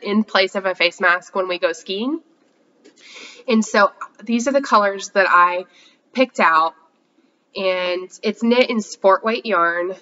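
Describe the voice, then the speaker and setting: Congested, chatty female host, bright forceful tone, slightly dull lo-fi audio. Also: on the low side. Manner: chatty, rambling, many fillers. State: congested from a cold. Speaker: podcast host. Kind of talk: casual chat showing yarn haul.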